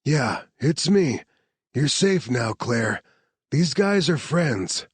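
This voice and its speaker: A man's voice: medium pitch, very animated, slow, breathy edge, dry recording, pitched above the performer's own voice, exaggerated voice. A man voicing a tough male rescue team leader who gives blunt orders and short reassurances. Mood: reassuring. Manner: blunt, commanding.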